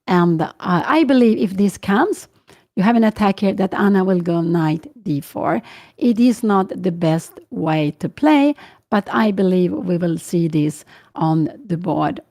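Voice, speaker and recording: Older Swedish woman with a gravelly, mellow voice. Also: lowish voice, expressive, a little slow. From Sweden. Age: 60s. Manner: thinking aloud with many fillers and self-corrections. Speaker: chess commentator. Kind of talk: live chess commentary and Q&A.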